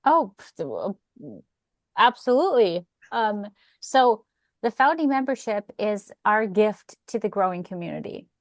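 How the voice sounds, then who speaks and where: A woman's voice: mid-range voice, animated, a little slow, no room sound. Eager woman explaining a membership, dry sound. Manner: eager, explanatory, some filler hesitations. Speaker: team member presenting. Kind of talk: live call presentation.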